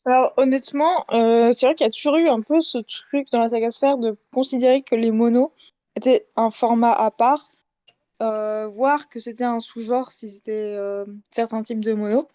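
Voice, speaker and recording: A woman with a fairly high, very soft-toned voice takes part in a casual debate. She is measured and modest, carefully qualifying what she says. The recording is dry and slightly muffled. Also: steady tone, no echo. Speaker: podcast debate participant, audio fiction writer.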